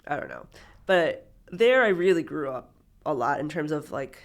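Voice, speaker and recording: Photographer guest, woman, 30s, from Peru via Miami; casual, rambling, slight vocal fry. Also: low-pitched, big pitch swings, normal speed. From born in Peru, raised in Miami. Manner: chatty, with many fillers and restarts. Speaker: documentary photographer, interview guest. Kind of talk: casual interview conversation.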